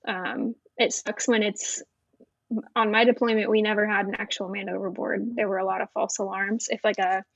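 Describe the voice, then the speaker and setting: A woman's voice: medium pitch, no echo, muffled recording. A woman, a Navy veteran, chatting frankly and casually as a podcast guest, with many fillers. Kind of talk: casual interview chat.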